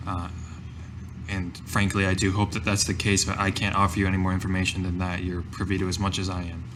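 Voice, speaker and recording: Uneasy male adventurer, played by a man at a game table, deep flat voice, hesitant, evasive, faint background noise. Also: brisk. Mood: defensive, apologetic. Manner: hesitant, repeating words, formal phrasing. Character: young adventurer Mateo, guarded, uneasy, politely evasive.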